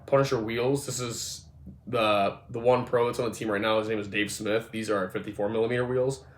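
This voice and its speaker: A man in his twenties with a voice hoarse from a cold, casually walking through his skateboard setup, full of fillers and restarts. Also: lowish voice, fairly bright tone. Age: 20s. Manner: rambling, filler-heavy, moves around while talking. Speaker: skateboarding vlogger. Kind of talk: casual product walkthrough. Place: indoor room with gym mat. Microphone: phone or camera moved around. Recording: echoey room.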